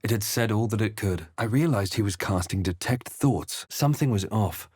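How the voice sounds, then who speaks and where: Low-voiced, brooding adventurer hero. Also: male voice, expressive, moderate pace, darkish tone, slightly rough, no echo, studio quality. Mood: grave, dramatic. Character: male adventurer hero, composed, brooding, recounting his journey. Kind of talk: video game voice lines, dramatic first-person narration.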